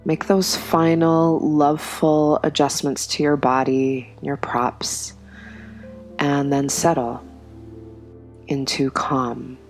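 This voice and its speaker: A woman guiding a yoga nidra meditation in a slow, soft, soothing way, with long pauses between phrases. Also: low voice, flat delivery, faint music behind. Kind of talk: guided meditation.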